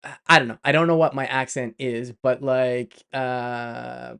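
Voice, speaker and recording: A man's voice: mid-range voice, level tone, a little slow, creaky, pushed and bright, smooth. A middle-aged man with a Canadian accent, chatting casually on a live stream, laughing often and full of fillers and restarts. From Canada (Montreal).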